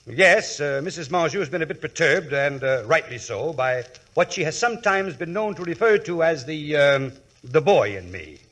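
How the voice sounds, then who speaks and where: An actor playing an imperious, indignant husband and customer in 1940s radio comedy, speaking in a pompous, precise way. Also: male voice, fairly high pitch, animated, normal speed, fairly bright tone. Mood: aggrieved, confessional. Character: irate, imperious, lovestruck wealthy husband and customer. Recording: vintage 1940s radio broadcast.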